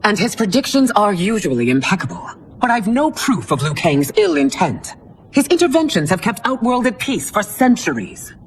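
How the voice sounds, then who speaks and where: A woman's voice: medium pitch, big pitch swings, normal speed, a little hiss or hum, close to an everyday voice, rough recording. A woman voicing a proud, commanding empress in a video game, speaking formally and scolding. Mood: stern. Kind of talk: video game cutscene acting. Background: game music.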